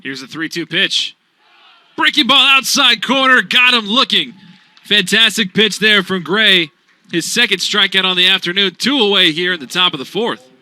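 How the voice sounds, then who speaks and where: A young man calling baseball play-by-play for radio in an animated, punchy sportscaster style. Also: high voice, normal speed, no echo. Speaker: play-by-play announcer.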